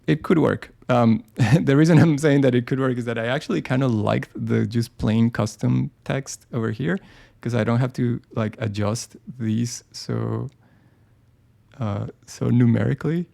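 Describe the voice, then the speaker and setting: Low-key guy doing a live design tutorial, gravelly and mellow, lots of ums. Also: steady tone, unhurried. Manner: casual, thinking aloud with many ums and restarts. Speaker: design software presenter. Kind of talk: live screen-share tutorial.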